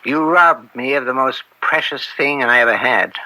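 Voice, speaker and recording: A man acting in an old-time radio drama as an upright, conflicted middle-aged American judge, speaking gravely and deliberately with theatrical weight. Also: mid-range voice, bright, forceful tone, rough recording. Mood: anguished. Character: anguished, upright judge and father, bitter and conflicted.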